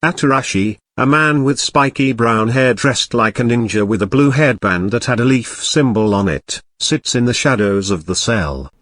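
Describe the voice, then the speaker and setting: A man's voice: mid-range voice, moderate pace, few pauses, fairly bright tone, dry recording. A man reading scripted narration for an audio drama.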